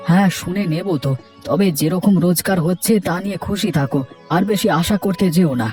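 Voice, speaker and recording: A man's voice: high-pitched, a little fast, music in the background, close to an everyday voice. A man voicing a discontented, hard-working village farmer and husband in a cartoon, earnest and given to complaining. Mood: irritated. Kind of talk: cartoon voice acting.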